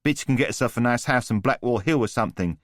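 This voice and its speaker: A male narrator voicing a swaggering young criminal who talks in street slang, pitched up from his own voice. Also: fast talker, no room sound, studio quality, natural voice. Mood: cocky.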